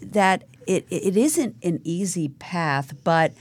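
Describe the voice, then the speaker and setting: A woman with a notably low voice hosts an interview podcast. She talks in a halting, encouraging way and often restarts her sentences. Her tone stays supportive throughout. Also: lively intonation, slightly rough. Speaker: podcast host. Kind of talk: interview conversation.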